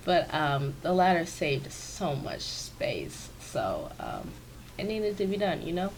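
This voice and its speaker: A woman with a raspy, stuffy voice, chatting casually and rambling with many fillers, recorded on a sensitive microphone in her home office. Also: on the low side, sing-song, takes it slowly, slight background noise, poor audio. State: stuffy from sinus trouble. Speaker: video creator. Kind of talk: casual commentary over a speed-build. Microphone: new sensitive desk microphone.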